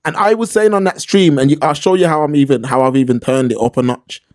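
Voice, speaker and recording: A man's voice: fairly high pitch, fast talker, darkish tone. A man with a London accent giving opinions in casual podcast talk, slangy and emphatic, repeating points for stress. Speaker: podcast host.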